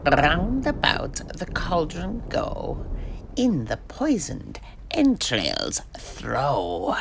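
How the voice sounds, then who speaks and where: A performer voicing a sinister witch chanting spells slowly and theatrically in a creaky, whispery voice. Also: mid-range voice, wildly swooping pitch, noisy background, pitched above the performer's own voice, exaggerated voice. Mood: incantatory menace. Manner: slow, incantatory, theatrical chanting.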